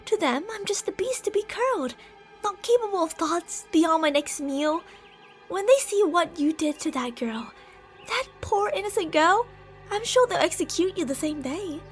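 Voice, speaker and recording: A woman's voice: extremely high pitch, expressive, normal speed, faint music behind. A woman voicing a possessive, delusional lamia monster in an ASMR roleplay, speaking in a haughty, theatrical, sweetly gaslighting way. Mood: coaxing, threatening.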